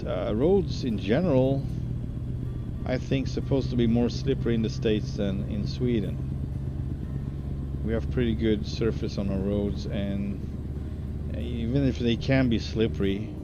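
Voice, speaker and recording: Slow, low-voiced man streaming over loud music. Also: lo-fi sound, heavy background noise. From Hungary. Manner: relaxed, chatty, self-deprecating. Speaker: game streamer. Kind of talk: casual live gameplay commentary, answering chat. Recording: loud background music under voice.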